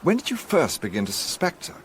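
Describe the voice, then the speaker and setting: A man's voice: a little high, huge pitch swings, brisk, slight background noise. An actor playing a detective's earnest, bewildered male companion in a radio drama. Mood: curious. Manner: questioning, bewildered.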